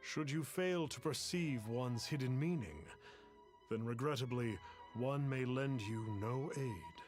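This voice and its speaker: An actor voicing a masked self-styled sage who speaks in a grandiose, archaic way. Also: male voice, medium pitch, unhurried, close to an everyday voice. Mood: lofty. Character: masked pompous fake sage, middle-aged man. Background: game music.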